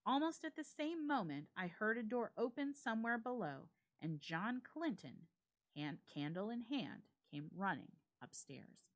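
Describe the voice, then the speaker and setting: A woman storyteller giving a suspenseful, expressive dramatic reading of a ghost story with deliberate phrasing. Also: medium pitch. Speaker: storyteller, library reader.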